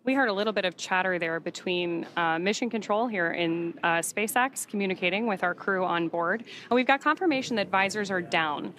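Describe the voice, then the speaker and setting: A woman's voice: mid-range voice, brisk, hardly any pauses, a bit bright. A woman working as a live space mission commentator, giving informative commentary that is partly read from notes.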